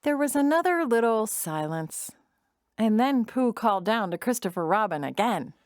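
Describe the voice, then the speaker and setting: A woman narrating a children's storybook drama in an expressive, deliberate storytelling manner. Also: on the high side.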